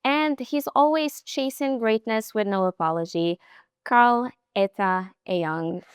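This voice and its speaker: A young woman hosting a sports interview, asking warm, admiring questions in a lively way. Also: on the high side, slow, clear, resonant tone, dry recording, studio quality. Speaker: interview host.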